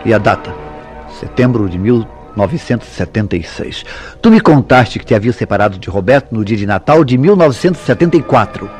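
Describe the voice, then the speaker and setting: A man's voice: fairly low pitch, expressive, a little fast, darkish tone, husky. A man with a Brazilian accent playing a jealous, suspicious husband in a radio soap opera, insistent and accusatory in delivery. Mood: suspicious, pressing. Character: jealous, suspicious husband interrogating his wife. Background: music behind the voice.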